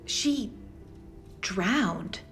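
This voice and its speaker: A woman's voice: medium pitch, animated, extremely slow, a bit bright, soft background music. An actress playing an over-familiar, oblivious small-town local woman in a video game, gushing and sing-song. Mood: oblivious, sympathetic. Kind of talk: video game cutscene acting.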